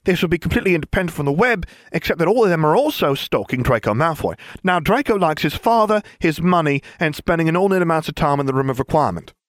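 A man voicing a brisk teenage girl in a storybook reading, rattling off gossip in a matter-of-fact way. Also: a little high, expressive, dry recording, studio quality, voice pushed up, natural voice.

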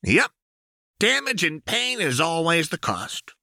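A man's voice: fairly high pitch, very animated, slow, creaky, pushed and bright, dry recording, clean studio sound, voice pushed up, exaggerated voice. A man voicing a manic, gleefully violent young woman crime baron in an audiobook, with a brash, taunting delivery.

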